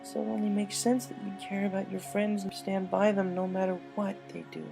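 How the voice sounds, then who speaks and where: A woman's voice: mid-range voice, little pitch movement, brisk, vocal fry, over music, voice pushed up, lightly acted. A woman voicing a thoughtful, supportive young female pony in a story, earnest and articulate. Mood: reassuring.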